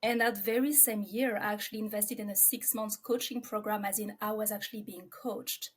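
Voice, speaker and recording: A woman's voice: mid-range voice, fairly even intonation, brisk, husky. A woman in her forties from France, with a French accent, talking reflectively about herself as an interview guest. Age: 40s.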